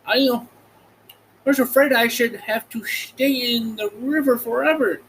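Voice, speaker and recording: A man's voice: very high-pitched, steady tone, measured pace, a bit bright, a little hiss or hum, pitched above the performer's own voice, full character voice, poor audio. A man voicing a simple, grateful and cheerful living scarecrow in a storybook.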